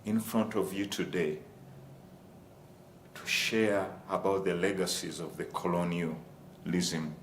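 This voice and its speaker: A man from Uganda, giving a formal presentation on a university panel and addressing the audience as ladies and gentlemen. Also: low voice, fairly even intonation, takes it slowly, long pauses. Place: lecture room. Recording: echoey room with faint ambient noise.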